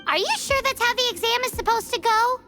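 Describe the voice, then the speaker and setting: A squeaky tiny fairy sidekick. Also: female voice, fairly quick. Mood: doubtful. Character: tiny floating female fairy-like companion, chirpy and excitable. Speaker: sidekick companion. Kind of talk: video game voice acting. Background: game soundtrack music.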